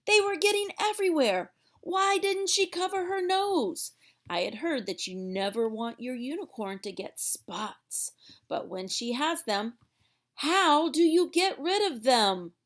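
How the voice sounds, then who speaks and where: A woman's voice: high-pitched, lively intonation, a little slow. A woman reading a storybook aloud for young children in a theatrical way, drawing out words for emphasis.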